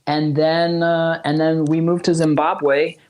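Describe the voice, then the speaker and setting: A man's voice: a little high, little pitch movement, a little slow, darkish tone. Man, forties, raised in South Africa, Zulu first language, rambling, laughing, casual interview guest. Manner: rambling, laughs often, many restarts. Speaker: musician guest.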